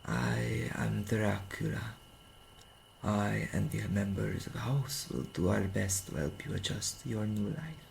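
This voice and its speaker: An actor playing an ancient, courteous vampire king in an audio drama, speaking formally and solemnly with a gravelly voice. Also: fairly low pitch, a little mellow, a little hiss or hum. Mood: courtly welcome. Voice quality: gravelly, creaky, breathy at times. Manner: formal, stately, unhurried. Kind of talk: narrative audio roleplay acting.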